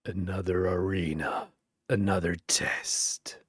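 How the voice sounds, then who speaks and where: A narrator playing a solemn, weary angel warrior with a hushed, resigned delivery in a fantasy story. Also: sits low, steady tone, slow pace, husky, no echo, studio quality, voice pushed down, heavily acted.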